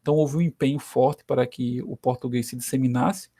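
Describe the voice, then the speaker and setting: A man's voice: mid-range voice, fairly even intonation, a little fast, warm tone, breathy edge, no echo. Brazilian man teaching history, dry sound. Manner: conversational, frequent "né" tag questions. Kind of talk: recorded history lesson.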